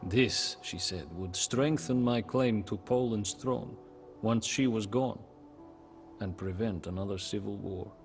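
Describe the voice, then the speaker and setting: An actor playing a solemn, grieving widowed medieval king, narrating his story in a game cutscene with grave, measured pauses. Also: fairly low pitch, faint music behind. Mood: sorrowful, remembering. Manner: grave, measured, pausing, often breathy at phrase ends. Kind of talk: dramatic first-person storybook game narration.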